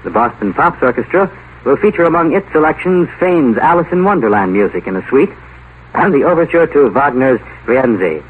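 A man's voice: medium pitch, animated, normal speed, slight background noise. American guy announcing on 50s radio, lots of up and down, tinny, faint music. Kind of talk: scripted 1950s radio announcing. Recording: tinny 1950 radio broadcast.

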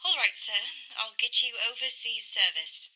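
A woman playing a polite, brisk London telephone operator, formal and clipped, in a radio play. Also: fairly high pitch, expressive, sharp tone, exaggerated voice, rough recording. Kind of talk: old-time radio drama acting.

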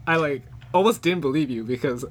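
A young Canadian man asks questions in a playful, joking way, his voice sitting a bit high for a man. He laughs often and acts out his own reactions in the stories he tells. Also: a little fast. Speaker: interviewer. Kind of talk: casual interview chat.

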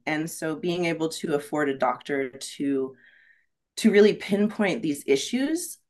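A woman being interviewed on a podcast, talking candidly and earnestly, often checking in with "right?". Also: low voice. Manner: reflective and earnest, frequent "right?" tags. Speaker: podcast guest, rock climbing guide.

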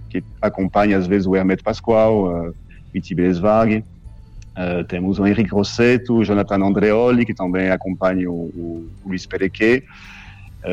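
A man's voice: lowish voice, a little mellow, lo-fi sound. A man, a French-born musician, answering questions on a radio show, with frequent restarts and fillers. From France. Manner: halting, many restarts and fillers. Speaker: musician guest.

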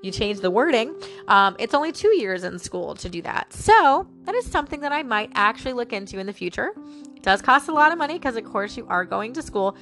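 A woman's voice: a little high, sing-song, fairly quick, fairly bright tone, soft background music. A woman with a stuffy nose and a slightly nasal voice, rambling and musing aloud to her listeners as host of a personal talk show. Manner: chatty, musing aloud, addresses listeners as guys. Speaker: podcast host.

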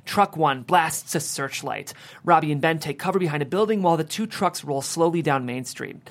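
Evenly pitched screenplay narrator. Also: moderate pace, creaky. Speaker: stage directions reader at a table read.

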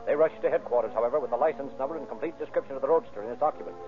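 A man narrating a vintage radio crime drama in an ominous, measured, florid manner. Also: mid-range voice. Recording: tinny narrow-band old radio recording. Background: loud dramatic music.

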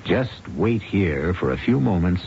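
A man's voice: low-pitched, sing-song, unhurried, vocal fry, a little mellow, slight background noise. A man hosting an old radio mystery with theatrical, wry, teasing narration. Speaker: series host. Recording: muffled old radio recording, music behind.

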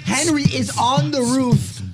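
A young man in his twenties with a high voice, spinning a story in a lively sing-song way. He repeats his phrases for effect. Loud music plays behind him. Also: slow. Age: 20s. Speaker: podcast co-host. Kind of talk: casual chat, acted-out storytelling. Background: music playing under the talk.

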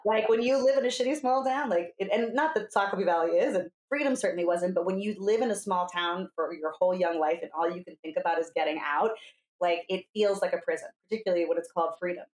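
A woman's voice: on the low side, fast talker. An American woman of fifty from New Hampshire, telling stories casually and candidly and acting out quoted dialogue. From New Hampshire, USA. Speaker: podcast guest, coach. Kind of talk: casual conversational storytelling. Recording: roomy, echoing sound.

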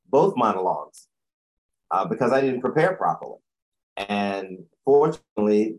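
A man in his sixties with a deep voice, telling a long personal story as an interview guest, often correcting himself as he goes. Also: a little mellow, low-quality recording. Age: 60s. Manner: rambling, self-correcting storyteller.

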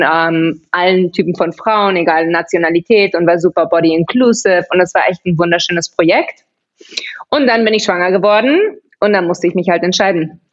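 A woman's voice: lowish voice, highs cut off. A woman in her thirties from Barcelona, chatting as an interview guest, laughing often and restarting her sentences. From Barcelona, Spain. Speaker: podcast guest. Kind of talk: casual interview chat.

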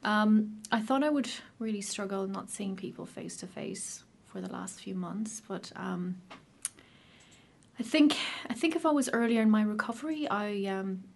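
A woman with an Irish accent, probably in her thirties, sharing her personal recovery story in a casual, hesitant way with many pauses and restarts. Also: mid-range voice, creaky, a little hiss or hum. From Ireland.

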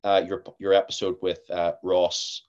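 Lively low-voiced Northern Irish man. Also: measured pace, smooth, highs cut off. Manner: lively, acts out what others said. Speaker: actor, podcast guest. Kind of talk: casual interview chat.

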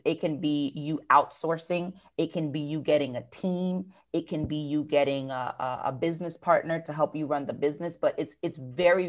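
An American woman with a notably low voice speaks as a guest in an interview about running a business. She talks in a conversational, thoughtful way, pausing on fillers and restarting phrases. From United States.

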